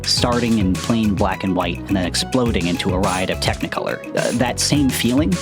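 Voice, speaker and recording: A man's voice: lowish voice, moderate pace. Male podcast host over prominent music. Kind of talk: conversational scripted introduction. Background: music with guitar.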